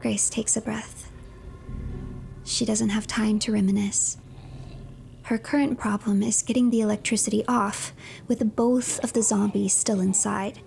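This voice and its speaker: A woman narrating a video game as a story in a scripted third-person style, storyteller-like, often dropping to a hush at the ends of phrases. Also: mid-range voice, creaky. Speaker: streamer narrating game playthrough.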